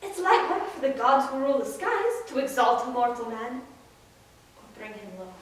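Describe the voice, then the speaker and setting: A woman's voice: sits high, big pitch swings, moderate pace. Woman acting a proud hero father, hugely echoey. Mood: proud, reassuring. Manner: declamatory, solemn, emphatic. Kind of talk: stage dramatic interpretation of epic poetry. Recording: distant, very echoey room.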